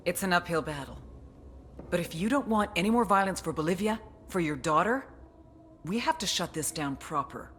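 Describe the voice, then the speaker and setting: An actress playing a pragmatic American intelligence agent, speaking in a controlled, matter-of-fact way in a game cutscene. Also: female voice, on the low side, lively intonation, normal speed, fairly bright tone, a little hiss or hum. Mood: firm, persuasive. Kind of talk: scripted game briefing and dialogue.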